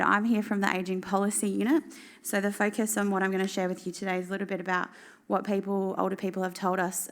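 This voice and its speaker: Croaky-voiced woman presenting. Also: medium pitch. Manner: steady, informative with frequent fillers. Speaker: government policy officer presenting. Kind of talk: conference presentation.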